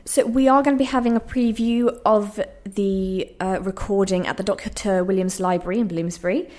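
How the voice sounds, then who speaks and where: A woman's voice: mid-range voice, steady tone, moderate pace, a little mellow. A woman speaking earnestly and reflectively as a playwright being interviewed in conversation on the radio.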